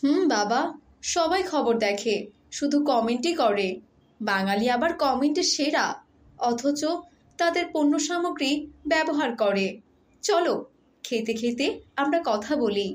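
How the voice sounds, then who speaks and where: A woman voicing a tender, devout mother in a cartoon, with a gentle, lamenting way of talking. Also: high voice, expressive, a little slow, pauses often, slight background noise. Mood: rueful. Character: tender, sorrowful devout mother of a young boy. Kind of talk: cartoon dialogue.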